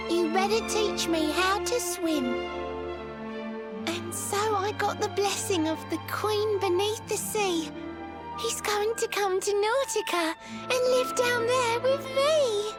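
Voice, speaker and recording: A woman voicing a sweet, lovelorn young mermaid in a fantasy video game, speaking in lilting rhyming verse. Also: very high voice. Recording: game music behind the voice.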